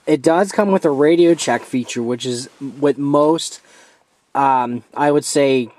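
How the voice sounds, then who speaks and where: Male amateur reviewer, medium pitch, informal hands-on demo delivery, somewhat halting as he walks through features. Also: a little mellow.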